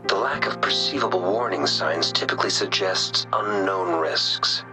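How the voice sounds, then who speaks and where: A man playing a polite, measured, analytical researcher in a video game. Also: deep voice, over music, lightly acted. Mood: measured, concerned.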